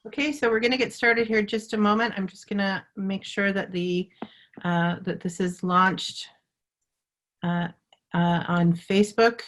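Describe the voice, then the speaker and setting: A woman's voice: medium pitch, steady tone, a little slow, fairly bright tone. A woman hosting a live webinar over a video call, talking with many fillers and restarts. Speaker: webinar host.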